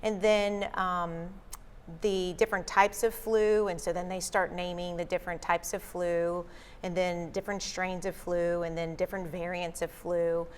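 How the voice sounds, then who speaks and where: A calm woman health guest with a lowish voice, speaking in polite, measured explanations. A long studio reverb trails behind her words. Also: clear, resonant tone. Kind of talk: explanatory interview answers. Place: local TV studio.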